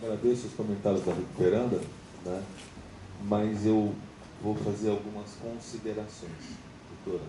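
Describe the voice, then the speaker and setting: Brazilian man, formal meeting chair, measured and hedging; low voice, distant roomy pickup with noise. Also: fairly even intonation. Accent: Brazilian Portuguese. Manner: measured, hedging, frequent "tá?" checks. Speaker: judicial administrator chairing a creditors' meeting. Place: meeting room. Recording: roomy, distant mic, noisy.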